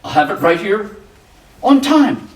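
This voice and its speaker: An actor playing a nervous, cornered debtor in a crime radio drama, halting and stammering as he talks. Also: high-pitched, big pitch swings. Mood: nervous, defensive. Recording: echoey room, distant mic, background noise.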